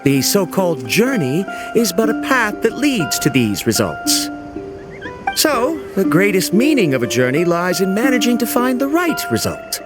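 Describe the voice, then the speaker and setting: A man's voice: sits high, huge pitch swings, normal speed. A man voicing a proud, embittered researcher in a video game, speaking formally and stiffly, ready to argue. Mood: pompous. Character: proud, embittered male researcher, stubborn and results-obsessed. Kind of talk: video game character acting. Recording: loud music behind the voice.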